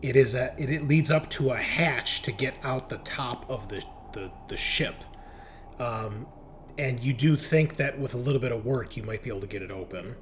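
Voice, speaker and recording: A man narrating a tabletop roleplaying game as game master, describing scenes in a halting, improvised way. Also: medium pitch, slight background noise.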